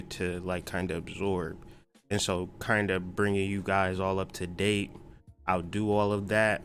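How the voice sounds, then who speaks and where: A man's voice: low voice, a bit bright, a little hiss or hum. A man hosting a podcast livestream in a laid-back, slangy casual chat, swearing now and then.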